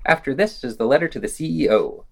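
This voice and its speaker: Male podcast host, wry and opinionated, scripted read with lively, varied pitch. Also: fast talker, vocal fry. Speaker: podcast host reading news reviews.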